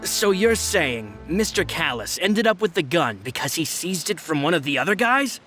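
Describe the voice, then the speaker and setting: A loyal bodyguard in a video game, speaking in a bright, forward voice pitched a little high. He is formal, measured and deferential, with music playing underneath. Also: big pitch swings. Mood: serious, questioning. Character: loyal male subordinate bodyguard, earnest and measured. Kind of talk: game cutscene dialogue.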